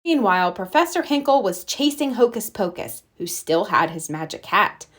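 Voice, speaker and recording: A woman reading a children's storybook aloud as narrator, with expressive storytelling. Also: medium pitch, normal speed. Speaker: storybook reader.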